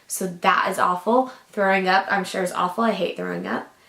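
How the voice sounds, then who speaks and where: Young woman rambling on camera, kinda raspy and groggy from a cough, echoey room. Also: lowish voice, very animated, moderate pace, a bit bright. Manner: chatty, rambling, lots of likes and ums. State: lingering cough. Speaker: vlogger. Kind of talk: casual chat to camera.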